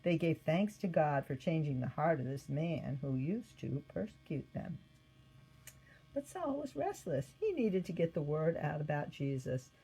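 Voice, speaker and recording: A woman reading a scripted story aloud as narrator, with expressive delivery. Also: deep voice, unhurried, breathy edge, poor audio. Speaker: storybook reader.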